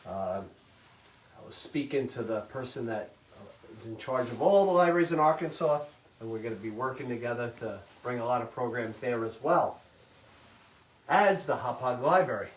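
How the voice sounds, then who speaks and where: A middle-aged man teaching a live online baking class, talking loudly and chattily and checking in with "okay?" all the time. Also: medium pitch, animated, normal speed. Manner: loud, chatty, says "okay?" often. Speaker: cooking instructor. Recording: roomy kitchen sound, mic at a distance.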